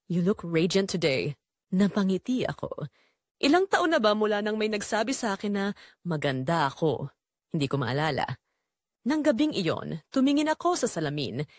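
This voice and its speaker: A woman's voice: medium pitch, no echo, studio quality. A woman with a Filipino accent narrating a scripted first-person drama in an emotive, confessional way.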